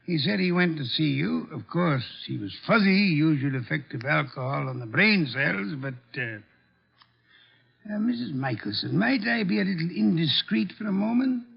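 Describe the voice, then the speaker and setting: An actor playing a courtly, imperious armchair detective in a formal, probing manner, in 1950s American radio drama style. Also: male voice, a little high, animated, moderate pace, creaky, breathy edge, heavily acted. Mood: courteous probing. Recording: dull vintage radio recording.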